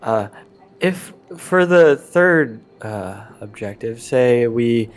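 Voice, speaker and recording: A man with an average-pitched voice plays a tabletop game. He speaks in a casual, improvised way. His delivery is halting and hesitant. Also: expressive.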